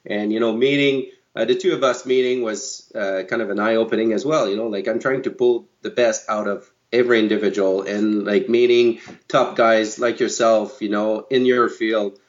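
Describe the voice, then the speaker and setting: Man, halting and lo-fi. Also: fairly low pitch, fairly even intonation. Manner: halting, many fillers and restarts. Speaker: guest coach. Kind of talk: podcast interview answers.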